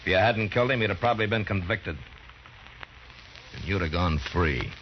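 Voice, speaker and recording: Deep male voice, frontier marshal, terse, authoritative, old radio western, dull noisy recording. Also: animated, moderate pace. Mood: commanding. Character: stern, level-headed frontier marshal in a western.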